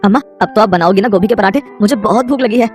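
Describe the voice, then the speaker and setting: A woman's voice: mid-range voice, fast talker, faint music behind, lightly acted. A woman voicing an innocent, polite little schoolboy in a children's story. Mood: pleading.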